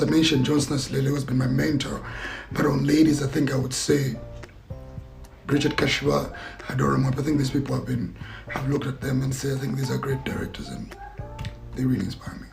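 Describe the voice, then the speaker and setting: A man's voice: medium pitch, vocal fry, poor audio. A man answering interview questions as an actor and filmmaker, reflective and searching for his words. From Zambia.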